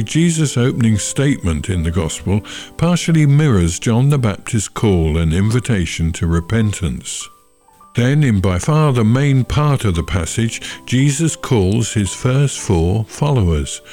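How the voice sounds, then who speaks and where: A man leading a church service, giving a short sermon and prayers in measured, solemn phrasing. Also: lowish voice, mellow.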